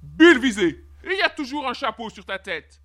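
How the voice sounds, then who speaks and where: A woman's voice: mid-range voice, animated, normal speed, fairly bright tone. A woman voicing a haughty, furious king in a storybook, imperious and indignant as she makes demands. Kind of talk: storybook character acting.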